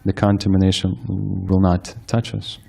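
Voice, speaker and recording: A man giving a scripture lecture, soft-spoken and hesitant, with frequent fillers and restarts. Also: low voice, little pitch movement, moderate pace, vocal fry. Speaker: speaker giving a scripture class. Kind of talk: sermon-style lecture. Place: temple hall with live audience.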